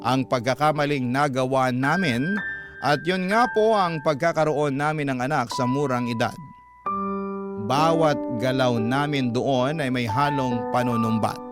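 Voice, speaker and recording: Casting: male Filipino radio storyteller, mid-pitched, earnest scripted narration, loud music bed underneath. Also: a little slow. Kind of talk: scripted emotional first-person narration. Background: prominent background music.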